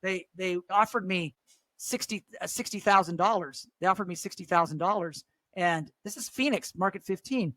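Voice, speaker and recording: A man with a notably high voice talks like the seasoned radio host he is, rambling through stories with quick restarts. The sound is dry and close, with no room around it. He is middle-aged and relaxed in conversation. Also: dry recording. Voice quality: higher voice. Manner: chatty, storytelling, frequent restarts. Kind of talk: casual chat.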